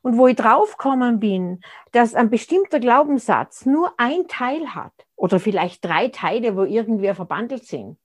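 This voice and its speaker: A woman's voice: fairly high pitch, sing-song, moderate pace. A woman, speaking as an interview guest in a reflective, conversational way and often restarting her sentences as she searches for words. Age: 60s.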